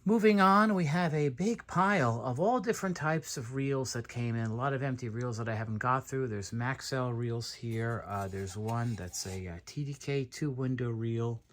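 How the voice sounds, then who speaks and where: A man with an average-pitched voice walks through goods for sale. He speaks without a script, in the manner of an unboxing video. His delivery is halting as he itemizes each piece. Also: fairly bright tone. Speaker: seller presenting tape stock. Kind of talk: unscripted unboxing walkthrough.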